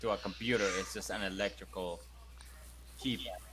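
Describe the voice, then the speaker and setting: A man's voice: on the low side, fairly even intonation, normal speed, pushed and bright, a little hiss or hum. A man playing in a tabletop role-playing game, chatting casually, joking and swearing. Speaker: player.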